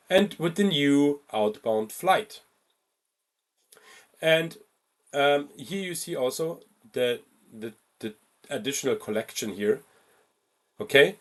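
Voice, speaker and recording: Man teaching with lively, higher-pitched delivery. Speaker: travel booking software instructor. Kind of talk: step-by-step screen tutorial, informal.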